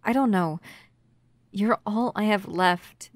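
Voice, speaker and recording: A woman playing a protective, upset human lover in an ASMR roleplay, stern yet often softly whispery. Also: mid-range voice, slow, dry recording, close to an everyday voice. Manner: soft, breathy near-whispers mixed with stern scolding.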